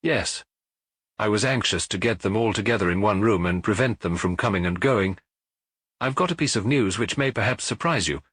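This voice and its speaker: A man's voice: fairly low pitch, lively intonation, normal speed, fairly bright tone, slightly rough, dry recording, clean studio sound. A man voicing a self-satisfied, eager police inspector in an audiobook, with a pompous, formal, explanatory manner. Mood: self-important. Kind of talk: audiobook character voice.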